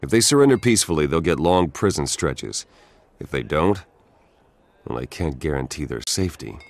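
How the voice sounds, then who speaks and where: An actor playing a steady, commanding guard captain in a video game, firm but fair. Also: male voice, rumbling low, normal speed, vocal fry, full character voice. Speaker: military captain. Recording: music behind the voice.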